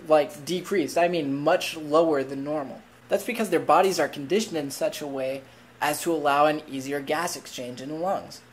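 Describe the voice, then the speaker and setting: A young man in his twenties explains things casually to his webcam from a small room at home. His voice is slightly raspy from a cold. His delivery is upbeat and conversational, with joking asides. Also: fairly high pitch, slight background noise. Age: 20s. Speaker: vlogger, commercial diver.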